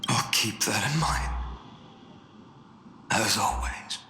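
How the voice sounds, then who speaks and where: Weary, slow-spoken fated hero, echoing. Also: male voice, on the low side, pushed and bright. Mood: resigned, grave. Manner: slow, grave, resigned delivery. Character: weary deliverer worn down by countless doomed cycles. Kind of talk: dramatic video game voice acting. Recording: reverberant, echoey hall sound. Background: faint music.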